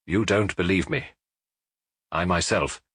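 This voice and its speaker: A man voicing a middle-aged French industrialist, proud but distraught, giving halting, defensive answers in a wheezing voice in an audiobook detective story. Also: low voice, a bit bright, a little hiss or hum.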